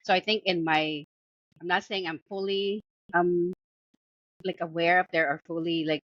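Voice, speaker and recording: A woman's voice: on the low side, steady tone, moderate pace, dry recording. Chatty Filipino-accented woman, lo-fi. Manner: chatty, full of fillers and restarts, laughs often. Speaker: book podcast co-host. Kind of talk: casual book review chat. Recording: dull lo-fi dry sound.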